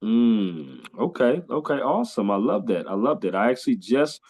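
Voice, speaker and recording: A man's voice: medium pitch, very animated, moderate pace, a little mellow, breathy edge. A man from California hosting a podcast interview, talking in an enthusiastic way with lots of fillers and repeats. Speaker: podcast host. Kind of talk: casual interview chat.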